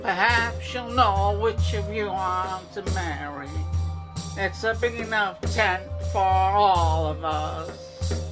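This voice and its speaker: Man playing a wordy, pompous, slow-talking fool. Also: sits high, over music. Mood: grandly excited. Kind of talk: comedy audio drama acting.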